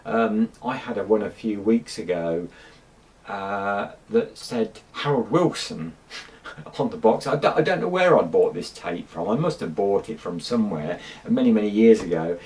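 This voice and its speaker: A man's voice: on the low side, animated, moderate pace, darkish tone, recorded from a distance. A middle-aged man, casually talking about old tape recordings, rambling with many ums and restarts. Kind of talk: casual chat to camera.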